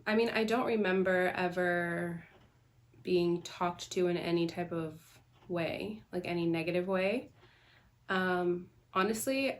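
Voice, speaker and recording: A young woman from the Bahamas answering interview questions about herself in a conversational, reflective way. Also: lowish voice. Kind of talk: candid personal interview.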